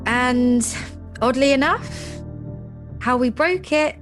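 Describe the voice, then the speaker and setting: A woman playing a composed, commanding ad agency executive in an audio drama, speaking deliberately. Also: high voice, faint music behind. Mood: knowing, grave.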